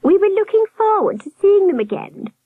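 A woman voicing a huge storybook nurse who speaks in a high, warbling, gushing and falsely sweet voice. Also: very animated, moderate pace, no echo, phone-quality sound. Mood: sugary dismay. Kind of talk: audiobook character voice.

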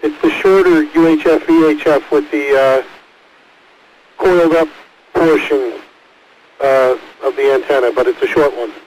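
A man's voice: a little high, a little slow, pauses often, darkish tone. A man calling in casually to an amateur radio net over a two-way radio transmission. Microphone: mobile two-way radio mic. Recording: hissy, noisy ham radio transmission.

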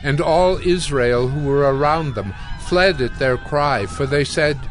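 A man narrating scripture from a script for an audio drama, measured and solemn. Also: on the high side, animated, a faint crowd, low-quality recording. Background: music.

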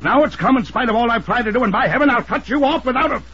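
Pompous rich uncle, swooping old-radio voice. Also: male voice, very high-pitched, animated, fast talker, fairly bright tone. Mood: angry, blustering. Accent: American. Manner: pompous, blustering. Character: wealthy, domineering, hot-tempered uncle and guardian. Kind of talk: old-time radio drama acting. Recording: dull, hissy old radio broadcast.

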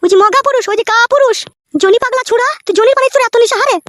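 A woman playing a jealous, quarrelsome village wife in a comic cartoon, shrill and foul-mouthed, with a pitched-up voice. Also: racing, no echo, studio quality. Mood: scolding. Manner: shrill, scolding, repetitive insults.

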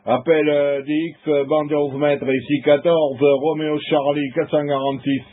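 A man's voice: on the high side, little pitch movement, normal speed. A man working as a CB radio operator, chatting informally in a friendly way over the air. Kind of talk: CB radio contact, informal chat. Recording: tinny narrowband radio audio.

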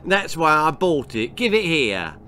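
A penny-pinching father from a children's storybook, voiced by an older man. He talks in a raised, animated voice, pleased with himself and matter-of-fact. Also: on the high side, unhurried, slight background noise, voice pushed up, full character voice. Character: grinning, miserly father.

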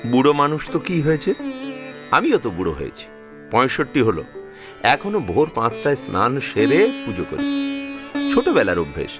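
Man acting animated, fussing old Bengali father-in-law. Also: medium pitch, a little fast, pauses often, music in the background. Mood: protective scolding. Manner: emphatic, repeats words, scolds protectively. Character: affectionate, fussing 65-year-old father-in-law, devout household elder. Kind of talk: Bengali audio drama acting.

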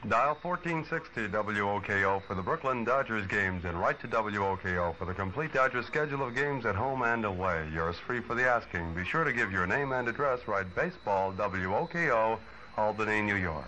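Male station announcer for a 1950s radio promo, lowish pitch, lo-fi recording with slight noise. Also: animated, normal speed, bright, forceful tone.

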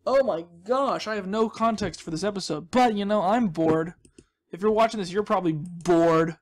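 A man's voice: high voice, normal speed. A young man giving casual, rambling commentary as he hosts a gaming video, with a goofy, self-deprecating, mock-dramatic manner.

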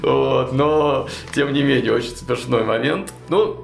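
A young man casually retelling a tabletop game campaign to a co-host, animated and full of fillers and restarts, in a small indoor studio. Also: medium pitch, measured pace, creaky. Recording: roomy echo, faint music behind.

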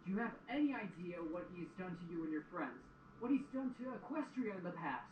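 A man's voice: high-pitched, very animated, brisk. Distant, echoey angry stallion played by a man. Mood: accusing. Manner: accusatory, confrontational. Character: angry, protective older-brother stallion pony. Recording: distant echoey speaker playback with clear noise.

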